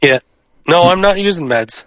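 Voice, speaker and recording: Stuffy-nosed guy w a cold on a gamer headset, laid back, voice a bit high. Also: expressive, normal speed, a bit bright, no echo. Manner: easygoing, laughs often. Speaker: podcast co-host. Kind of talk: casual chat. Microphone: gamer headset, recording remotely.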